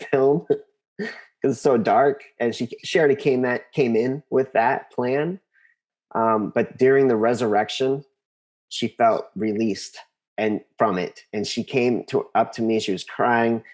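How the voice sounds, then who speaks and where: An American man speaking as an interview guest, telling personal stories casually and earnestly, often halting and repeating words as he thinks. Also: medium pitch, a little mellow. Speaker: interview guest, composer and conductor.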